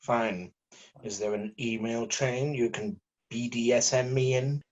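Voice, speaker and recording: A man's voice: mid-range voice, steady tone, a little slow, darkish tone, highs cut off, natural voice. A man reading a weary, bitterly sarcastic journalist in an amateur script read. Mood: tense, resentful. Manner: exasperated, sarcastic.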